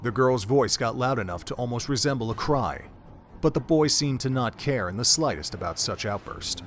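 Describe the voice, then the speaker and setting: Guy narrating a story, even and measured, slight noise in the back. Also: medium pitch.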